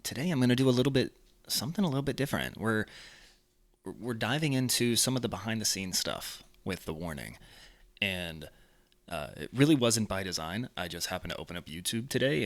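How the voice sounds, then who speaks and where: Lively low-voiced man chatting casually. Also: brisk. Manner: relaxed, chatty, laughs along. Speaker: reaction video host. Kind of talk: casual reaction commentary.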